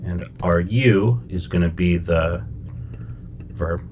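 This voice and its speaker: Deep-voiced middle-aged guy from chicago, chill and chatty, lots of "okay?" and "right?", faint hiss behind. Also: takes it slowly. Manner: relaxed, conversational, with frequent fillers and check-ins. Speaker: language teacher. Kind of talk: casual explanatory lesson.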